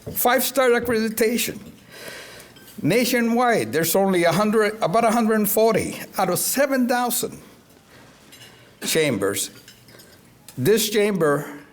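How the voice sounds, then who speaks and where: Man from Puerto Rico, native Spanish speaker, fairly high voice, slow deliberate speech, faint hiss behind. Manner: upbeat, proud, laughs between phrases. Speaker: event sponsor speaker. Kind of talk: speech at a public event.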